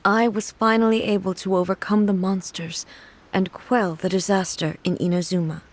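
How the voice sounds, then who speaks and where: An actress playing a solemn, regal goddess mourning her sister in a video game, speaking in a soft, hushed, measured way. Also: mid-range voice, little pitch movement, natural voice. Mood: sorrowful.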